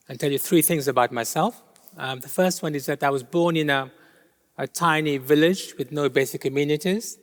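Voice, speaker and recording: A middle-aged man from Kenya whose first language is Gujarati, answering interview questions thoughtfully with many pauses. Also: a little high. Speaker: interview guest. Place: large church. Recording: long reverb of a large church hall.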